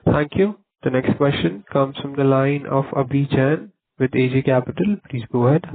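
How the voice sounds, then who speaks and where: A man's voice: mid-range voice, normal speed. A man moderating a conference call, announcing callers in formulaic phrases. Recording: narrow phone-like line.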